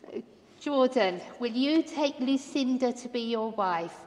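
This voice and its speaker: A woman officiating a church wedding, her voice set a little high and delivered very slowly. Each phrase is spaced out for the congregation, and the long stone-church reverb trails after every line. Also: expressive. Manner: warm welcoming, leading vows phrase by phrase. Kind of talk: wedding liturgy, slow and measured.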